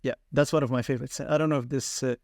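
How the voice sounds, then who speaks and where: A man's voice: mid-range voice, steady tone, fast talker, a little mellow, no room sound, studio quality. A man from Lebanon hosting a podcast, speaking hesitantly with many fillers in a casual interview chat. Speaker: podcast host.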